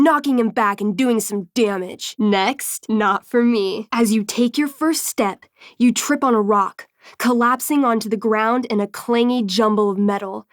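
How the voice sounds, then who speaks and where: A woman's voice: fairly high pitch, dry recording, studio quality, close to an everyday voice. A woman playing a wry teenage game master, closely recorded. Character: friendly, theatrical teenage girl running a tabletop fantasy game. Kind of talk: dramatic tabletop storytelling and casual chat.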